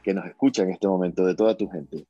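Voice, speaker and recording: A man's voice: sits low, fast, warm tone, no room sound, dull audio, soft background music. A young man from Ecuador with a coastal Ecuadorian accent, chatting as an interview guest and rambling with many restarts. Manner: rambling, repeats and restarts words. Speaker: guest musician. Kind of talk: casual interview chat.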